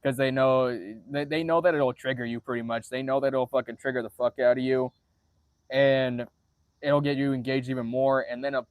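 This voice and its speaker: Male livestreamer, average pitch, monotone delivery, halting with repeated phrase starts, casual and sweary. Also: no echo. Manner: frequent swearing. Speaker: livestreamer answering viewer chat.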